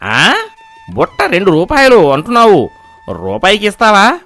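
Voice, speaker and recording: A man's voice: sits high, huge pitch swings, normal speed, bright, forceful tone, full character voice. Man acting a stingy old grandfather, swooping delivery. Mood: haggling. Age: older. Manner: haggling, dismissive, bossy. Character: stingy, scheming old grandfather avoiding any expense. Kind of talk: animated moral-story voice acting.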